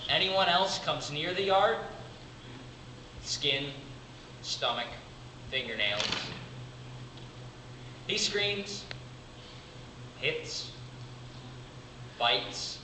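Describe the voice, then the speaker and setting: A man acts out a devoted, weary big brother in a theatrical monologue. His voice is fairly high and swings widely, with deliberate pauses between short phrases. It is heard from a distance over clear noise in an echoey room. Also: animated. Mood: grim, resigned. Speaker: amateur drama club actor.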